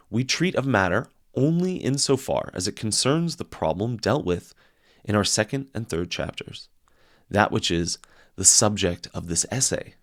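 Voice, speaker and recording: Male reader, lowish register, widely swinging melody, theatrical and deliberate delivery of dense philosophical prose. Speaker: audiobook reader. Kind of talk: dramatic reading of a philosophy text.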